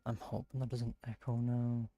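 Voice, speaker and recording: A man with a gravelly, husky voice giving casual livestream game commentary into a close microphone. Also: mid-range voice, level tone, normal speed, mellow, no room sound. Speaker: game streamer. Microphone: close streaming microphone.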